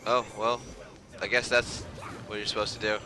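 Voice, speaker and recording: A man's voice: fairly low pitch, level tone, normal speed. A man streaming a game with casual, profane commentary, muttering reactions as he plays. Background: game music.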